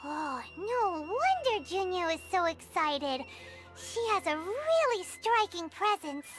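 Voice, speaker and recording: Game fairy sidekick: tiny, bubbly, extremely high squeaky voice, swooping delivery, music behind. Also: female voice, big pitch swings, slow pace, fairly bright tone, heavily acted. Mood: curious, awed. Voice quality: squeaky, childlike. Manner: bubbly, curious, chatty. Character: tiny cheerful floating fairy companion, female, childlike and chatty. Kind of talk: video game character acting. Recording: music clearly playing behind.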